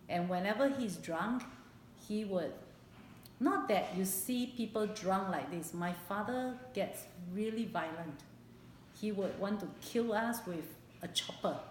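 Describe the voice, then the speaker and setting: A woman in her late fifties with a Singaporean accent recalls her life in a personal interview, speaking haltingly and repeating phrases for emphasis. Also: medium pitch. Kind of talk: personal oral history interview. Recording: echoey room, background hiss.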